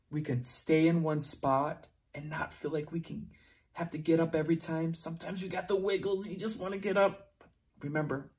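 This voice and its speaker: A young man teaching preschoolers online at a whiteboard, talking slowly and encouragingly in a sing-song way, moving toward and away from the camera. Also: fairly high pitch, a little mellow. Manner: slow, sing-song, encouraging teacher talk to small children. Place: classroom at a whiteboard. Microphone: camera close up, moving away to write.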